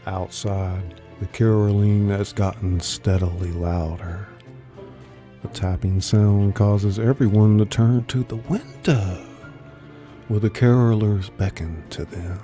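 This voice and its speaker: Very dark-toned man narrating slowly. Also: fairly low pitch, steady tone, soft background music. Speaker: narrator of a live story reading. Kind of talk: scripted dramatic narration.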